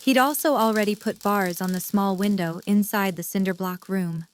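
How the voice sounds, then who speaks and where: Mid-pitched woman narrator. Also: normal speed, slight background noise. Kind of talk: scripted narration of audio drama.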